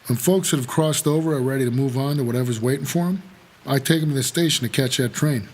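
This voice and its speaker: An American actor playing a weary, guarded supernatural driver who narrates an audio drama in terse, measured noir style. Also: male voice, mid-range voice, a little mellow, close to an everyday voice. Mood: calm, reassuring.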